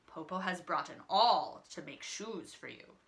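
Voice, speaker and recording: A woman's voice: fairly low pitch, lively intonation, unhurried, fairly bright tone. A woman voicing a cunning old storybook wolf who pretends to be a sweet, coaxing grandmother. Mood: feigned sweetness. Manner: coaxing, feigning affection.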